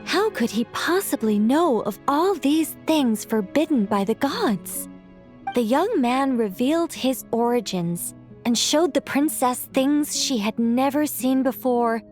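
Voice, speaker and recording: Eloquent, serene angel, higher-pitched. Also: female voice. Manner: eloquent, measured, gently teasing. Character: serene, playful female angel storyteller, ancient and wry. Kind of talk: video game character acting, storybook narration.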